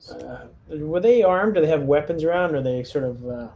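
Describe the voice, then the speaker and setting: A man's voice: a little high, animated, a little fast, creaky, mellow. A man chatting casually as a player in a tabletop roleplaying game, thinking aloud in a tentative way. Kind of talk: casual in-character group chat. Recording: home recording with faint noise.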